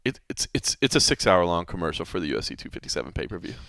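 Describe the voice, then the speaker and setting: A man hosting a casual sports podcast, talking quickly with lots of restarts and repeated words. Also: low voice, no room sound, studio quality. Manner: animated, talks fast with many restarts. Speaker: podcast host.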